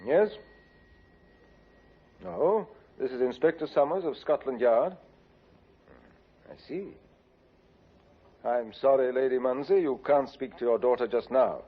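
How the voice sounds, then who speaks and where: An actor playing a calm, firm police inspector questioning a suspect in a 1950s radio drama. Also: male voice, medium pitch, measured pace, long pauses, lightly acted. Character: stern male Scotland Yard inspector. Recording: dull old 1950s radio recording.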